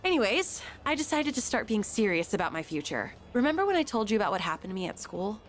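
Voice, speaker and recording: A woman's voice: mid-range voice, big pitch swings, fast talker, faint music behind, close to an everyday voice. A woman playing an upbeat, sporty teenage schoolgirl in a video game, chatty, frank and playful. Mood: determined. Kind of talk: English video game voice acting.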